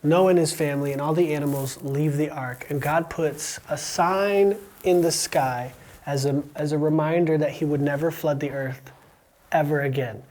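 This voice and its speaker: A man's voice: on the high side, steady tone, normal speed, a little mellow. A man with an American accent, born in Romania, hosting a podcast and quizzing a student in an encouraging, joking manner. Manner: encouraging, asks quiz questions, jokey. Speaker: podcast host. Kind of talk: casual interview with a student.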